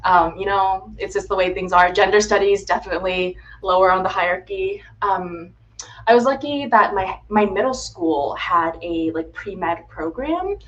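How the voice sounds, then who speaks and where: A woman's voice: mid-range voice, normal speed, lo-fi sound. A woman in her twenties from Florida, chatting casually as a guest, with lots of ums and likes. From United States (Florida). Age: 20s. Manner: chatty, frequent "um" and "like", laughs easily. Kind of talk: casual interview chat. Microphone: video call. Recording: faint background noise.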